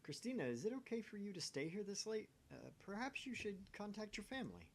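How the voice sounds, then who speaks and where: A man's voice: high voice, very animated, brisk, slight background noise, pitched above the performer's own voice. A man voicing a brash, nosy young male scientist in a visual novel, with a dramatic, teasing delivery. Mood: nosy, incredulous.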